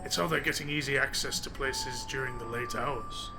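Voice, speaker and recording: A man playing a wry, probing, quietly threatening lawman in a noir audio drama. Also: mid-range voice, fairly even intonation, moderate pace, over music, close to an everyday voice.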